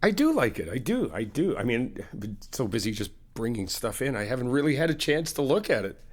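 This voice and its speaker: A young man in an ASMR comfort roleplay, talking to the listener as a reassuring friend in a chatty way with soft laughs. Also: medium pitch, big pitch swings, a little fast.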